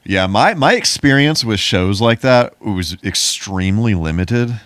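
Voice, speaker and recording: A low-pitched man talks casually as a podcast co-host. He is laconic and a little halting in how he puts things. The recording is dry and free of room sound. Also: expressive, fairly bright tone, dry recording.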